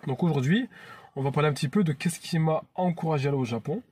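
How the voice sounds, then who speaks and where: A man's voice: on the high side, fast, a little mellow, husky. A man in his thirties from France, hosting his own channel in an earnest, chatty vlog monologue that often restarts phrases. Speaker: YouTube channel host.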